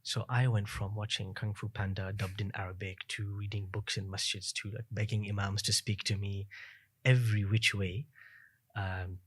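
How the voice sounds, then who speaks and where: A man in his thirties, a podcast guest, telling his story in a fast, reflective way with many fillers. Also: lowish voice, little pitch movement, a bit bright, husky. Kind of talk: casual interview chat.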